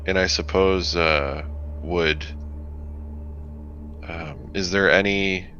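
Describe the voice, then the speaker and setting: A man playing a tabletop roleplaying game, thoughtfully and tentatively describing his character's actions, with halting phrasing, on a dull microphone with faint noise. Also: low-pitched, fairly even intonation, bright, forceful tone.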